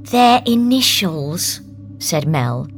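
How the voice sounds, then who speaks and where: A woman giving an expressive audiobook performance, moving between acted dialogue and narration. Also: on the low side, drawn-out words, gravelly, full character voice. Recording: music behind the voice.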